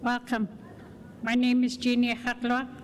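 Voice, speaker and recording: Older woman from the Canadian Arctic, slow earnest testimony, slightly high voice, long hall reverb. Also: completely flat delivery, slight background noise. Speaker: residential school survivor telling her story. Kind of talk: prepared personal testimony.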